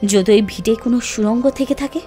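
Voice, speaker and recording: An actress playing a determined young woman investigating a village mystery in a horror audio drama, insistent and expressive. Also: fairly high pitch, brisk, natural voice. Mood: uneasy. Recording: music bed behind the voice.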